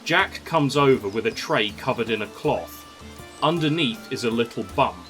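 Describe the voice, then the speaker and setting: A man's voice: medium pitch, expressive, moderate pace, a bit bright. A man reading scripted story narration. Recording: music under the voice.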